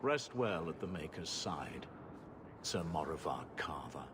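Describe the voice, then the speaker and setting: Older gentleman, solemn, reflective, formal, unhurried, expressive intonation, fantasy game drama. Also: very deep voice, background noise, exaggerated voice. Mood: solemn, mournful. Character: solemn, gentle older man, grateful family acquaintance. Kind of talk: dramatic video game acting. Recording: voice under loud game music.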